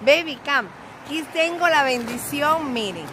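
High-pitched theatrical Cuban-born woman vlogging. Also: lively intonation, a little slow, noisy background. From Cuba. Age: middle-aged. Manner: theatrical, self-praising, sing-song. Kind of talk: casual self-filmed vlog. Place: outdoors, by a grassy lot. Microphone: phone at arm's length.

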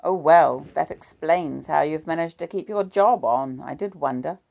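A woman's voice: on the low side, measured pace, gravelly, darkish tone, pitched above the performer's own voice. A woman voicing a wounded, bitter husband who growls and turns sarcastic.

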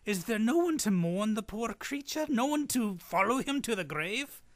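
An actor playing an old male miser in an old-time radio drama, theatrical and emphatic, with dramatic pauses. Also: sits high, very animated, a bit bright. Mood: fearful, sombre.

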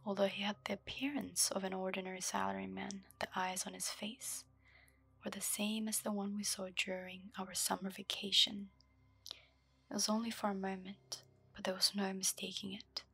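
Husky, gravelly low whisper from a woman. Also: little pitch movement, no room sound. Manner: slow, soft, breathy whisper. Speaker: ASMR host. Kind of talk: whispered ASMR storytelling. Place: dark room lit by a candle.

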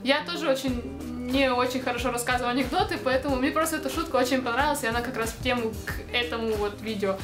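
A woman's voice: high-pitched, quick pace, few pauses. A young woman, chatting casually to camera in a rambling way, full of fillers and light laughs. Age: young adult. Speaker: vlogger. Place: dark indoor room. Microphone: camera close to face. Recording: echoey room with music behind.

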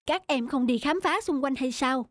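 A woman voicing a gentle, caring schoolteacher in a cartoon, with a measured, instructive manner. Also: high-pitched, a little hiss or hum, lightly acted. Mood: calm, kind. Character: gentle, caring female schoolteacher addressing her class. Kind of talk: animated cartoon dubbing.

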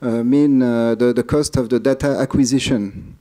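Hesitant man with a mellow voice. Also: mid-range voice, steady tone, moderate pace. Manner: hesitant, many uh fillers and restarts. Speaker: conference speaker. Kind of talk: conference Q&A answers. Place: large dark conference hall.

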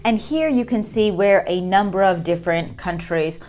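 A woman in her thirties explaining a topic in an educational video, talking clearly and gesturing as she goes. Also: mid-range voice, moderate pace, a little mellow, soft background music. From United States. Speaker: lecturer. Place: small room indoors.

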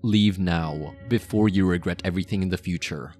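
Man acting low-voiced, possessive mafia boss. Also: a little mellow, soft background music, natural voice. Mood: commanding, menacing. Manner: slow teasing, sinister chuckles, theatrical. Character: possessive, menacing, playful male mafia boss. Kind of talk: ASMR roleplay acting.

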